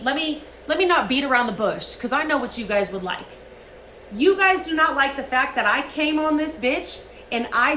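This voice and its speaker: A woman's voice: high voice, pushed and bright, echoey room, slight background noise, low-quality recording. A woman livestreamer delivering a profane, emphatic rant.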